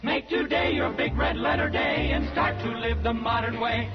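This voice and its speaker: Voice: mid-range voice, normal speed. A singer performing a 1950s radio advertising jingle over music. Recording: dull vintage radio recording with music.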